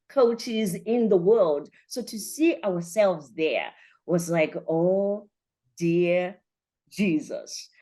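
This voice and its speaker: A woman's voice: medium pitch, mellow. A woman from Zimbabwe with a Zimbabwean accent, hosting a podcast in a chatty, effusive way, often addressing listeners directly. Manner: effusive, addresses listeners directly, checks with "right" and "you know". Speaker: podcast host.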